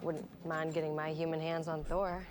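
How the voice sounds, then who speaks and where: A woman's voice: deep voice, little pitch movement, moderate pace, music in the background, natural voice. An actress playing a chatty young female lab scientist who rambles eagerly.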